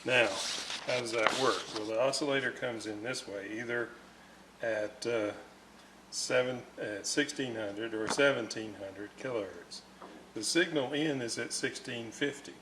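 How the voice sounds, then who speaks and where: A man giving an unscripted, hands-on technical explanation in a methodical, halting way, often searching for words. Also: mid-range voice, background noise. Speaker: radio restorer presenting repair videos.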